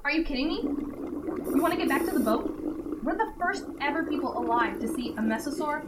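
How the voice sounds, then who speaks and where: A high, swooping voice of a woman acting an excitable, stubborn scientist diver in an audio drama. She is earnest and insistent, caught from a distance through heavy noise. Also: a little fast. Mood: insistent, awed. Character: excitable, stubborn female marine scientist diver awed by sea creature.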